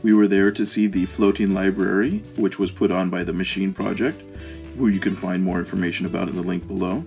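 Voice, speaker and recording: Guy with a deep voice doing travel vlog voiceover, bit of guitar music under it. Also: fairly even intonation, brisk, vocal fry, darkish tone. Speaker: vlog host and narrator. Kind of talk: scripted travel vlog narration.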